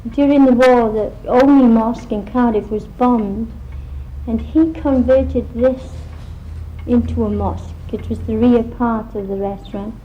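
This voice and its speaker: An older Welsh woman telling her life story in a plain, unhurried way on an old recording. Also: fairly high pitch, fairly even intonation. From Wales. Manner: plain storytelling with small laughs. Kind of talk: recorded personal reminiscence. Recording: dull old archive recording with faint hiss.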